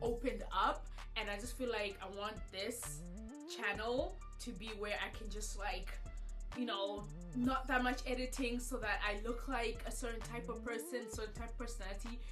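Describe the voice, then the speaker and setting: A woman's voice: on the high side, fairly even intonation, moderate pace. Chatty young woman from Zimbabwe. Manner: chatty, candid, lots of filler "like". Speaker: vlogger. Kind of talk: casual get-to-know-me Q&A. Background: background music.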